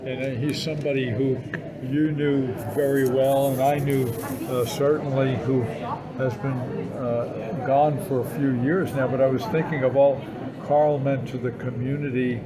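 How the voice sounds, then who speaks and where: An older man co-hosts a relaxed interview with a mid-pitched voice. His phrasing is hesitant and halting, and loud chatter of people fills the background. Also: poor audio. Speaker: podcast co-host. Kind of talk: casual conversational interview.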